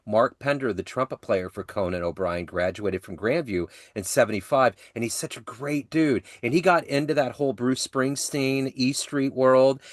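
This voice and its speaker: A man's voice: medium pitch, normal speed, few pauses, dry recording, studio quality. A man from the American Midwest hosting a podcast interview, chatting casually and enthusiastically, with loose slangy tangents. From Midwestern United States. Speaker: podcast host.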